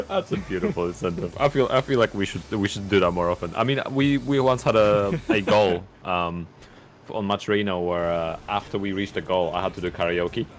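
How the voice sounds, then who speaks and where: Low-voiced man, rambling banter. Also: very animated, moderate pace, slight background noise. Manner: rambling, laughs often, chatty. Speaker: esports co-commentator. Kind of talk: live tournament commentary.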